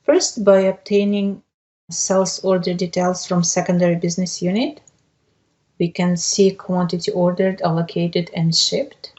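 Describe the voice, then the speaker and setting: Woman presenting a methodical software walkthrough. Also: on the low side, flat delivery, a little slow. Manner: methodical, explanatory. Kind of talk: step-by-step software demonstration.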